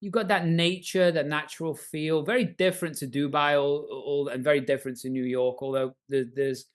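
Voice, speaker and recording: A man's voice: fairly high pitch, fairly even intonation, normal speed. Enthusiastic male podcast host. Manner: enthusiastic, repeats words, jokey. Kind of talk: casual interview hosting. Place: remote, in his own home setting.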